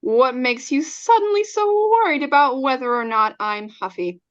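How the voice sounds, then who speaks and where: A woman's voice: high-pitched, moderate pace, muffled recording. A woman playing a bitter, sarcastic wife who is leaving her husband, in an old-time radio drama with cutting delivery. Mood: cold sarcasm. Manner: cutting, scornful, deadpan sarcasm.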